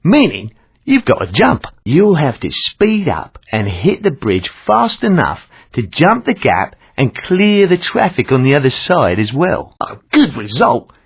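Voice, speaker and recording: An actor playing a chatty older London cabbie and instructor with a Cockney accent, cheeky, blunt and wry, in a video game. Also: male voice, a little high, huge pitch swings, measured pace, slightly rough, dull audio, exaggerated voice. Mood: instructive, jaunty. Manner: colloquial slang, wry, theatrical. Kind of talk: scripted game instruction and feedback.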